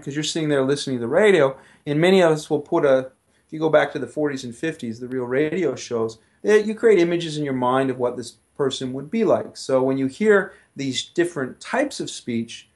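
A middle-aged man, a professor guest, explaining his research conversationally with frequent restarts and "you know". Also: a little high.

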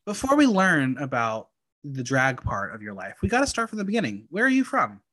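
A man's voice: medium pitch, a little fast, poor audio. A man hosting a podcast, chatting casually and joking with his guest. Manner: playful, jokey, animated. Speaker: podcast host. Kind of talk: casual interview chat.

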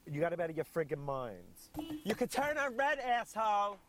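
A man's voice: very animated, a little fast, a bit bright. A man doing a whiny Long Island girl. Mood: exasperated. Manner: whiny, exasperated, shrill outbursts. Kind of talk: comedy sketch clip.

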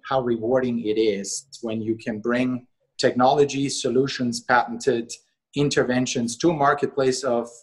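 A middle-aged man from Austria, a company co-CEO, answering interview questions in a measured way with many fillers. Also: medium pitch, steady tone, husky. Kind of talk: interview conversation.

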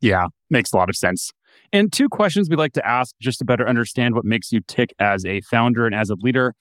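A man's voice: medium pitch, lively intonation, a bit bright, no room sound, clean studio sound. A man hosting a podcast, reading an upbeat intro and then asking casual interview questions. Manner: upbeat, brisk host delivery. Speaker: podcast host.

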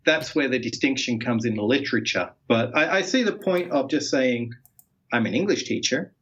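A man, a researcher, reasoning through points in a casual academic conversation and quick to ask questions. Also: mid-range voice, expressive, fairly bright tone, slightly rough. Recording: slightly dull, muffled sound.

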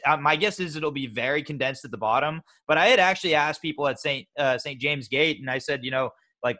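Male co-host, bright forceful tone, slightly higher voice, loose rambling chat. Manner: chatty, rambling, many fillers and restarts. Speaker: podcast co-host. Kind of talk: casual sports podcast chat.

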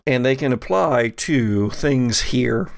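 A man acting as game master, narrating a tabletop roleplaying game in a halting, deliberate, improvised way. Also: mid-range voice, animated, gravelly, dry recording.

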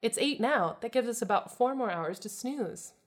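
A calm young man read by a woman who leads a mystery gang in a cartoon tale. He speaks in a placating, reasonable way. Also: on the high side, moderate pace, voice pushed up.

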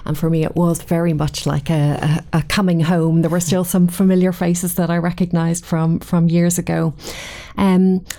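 A middle-aged woman with a Dublin Irish accent answers podcast questions at a measured, considered pace. Her voice sits a little low with a warm, relaxed tone. She pauses now and then to restart a phrase as she thinks. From Ireland. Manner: measured, thoughtful, occasional ums and restarts. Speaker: guest, committee chair. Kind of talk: podcast interview.

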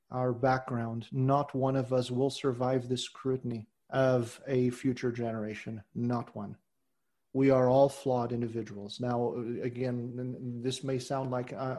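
Middle-aged male guest, mid-range pitch, earnest and measured, trails off quietly. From Portugal. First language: Portuguese. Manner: earnest and measured, voice trailing off softly at phrase ends. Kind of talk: reflective interview talk.

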